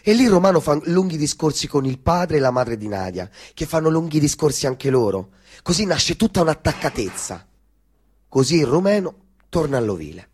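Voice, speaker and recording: Higher-voiced man in theatrical narration. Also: very animated, moderate pace, a little mellow, husky. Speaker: stage performer.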